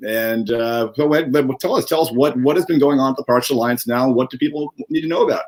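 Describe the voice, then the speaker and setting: A man's voice: medium pitch, brisk, a little mellow. A man hosting a live stream, restarting his sentences often as he talks. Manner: stop-start, restarting sentences.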